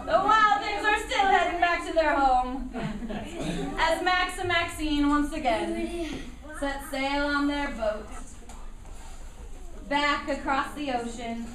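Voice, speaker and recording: A woman narrating a children's puppet show live, animated and encouraging as she directs the children. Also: high voice, takes it slowly, long pauses, a crowd in the background. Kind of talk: live storytelling to young children. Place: indoor room at a school show. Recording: noisy echoey room, distant microphone.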